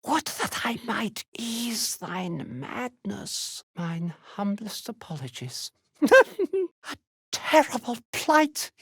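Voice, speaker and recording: A woman's voice: a little high, sing-song, drawn-out words, slightly rough, no echo, studio quality, heavily acted. A woman playing a cursed, mad old grandmother in a video game, with archaic courtly speech and compulsive laughter. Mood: tormented mirth. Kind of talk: video game character dialogue.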